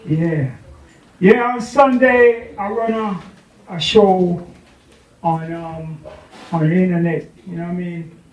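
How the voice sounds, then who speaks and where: Jamaican male DJ, high voice, casual halting delivery, roomy muffled recording. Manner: halting, repeats words, conversational tags. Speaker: DJ and internet radio show host. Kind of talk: casual self-introduction to an audience. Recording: dull, echoey, distant room microphone.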